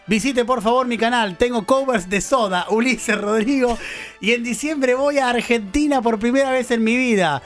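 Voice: mid-range voice, moderate pace, faint music behind. A radio host with a Rioplatense accent, interviewing a guest in a studio in a chatty way and laughing often. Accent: Rioplatense Spanish.

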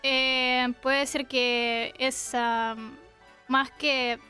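A young Russian woman with a high, smooth and clear voice answers questions in a radio studio. Her Russian accent is plain, and she stops often to hunt for the right word. Faint music plays behind her. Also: flat delivery, extremely slow, pushed and bright. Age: 20s. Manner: hesitant, searching for words with many fillers. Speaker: guest. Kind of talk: interview answers. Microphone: studio microphone.

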